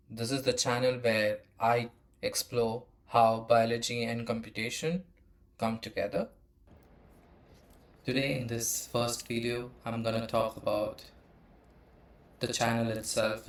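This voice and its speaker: A man from India in his thirties hosts a teaching channel and explains computational biology from a slide deck. He hesitates often, filling gaps with uh, and his pitch sits in the middle range. His voice rings out in a very echoey room. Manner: hesitant, frequent uh fillers.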